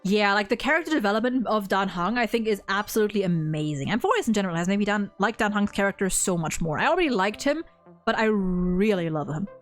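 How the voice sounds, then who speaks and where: A woman's voice: mid-range voice, lively intonation, fast, soft background music. A woman chatting casually and effusively as a host discussing a game's story, with plenty of enthusiasm. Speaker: video host.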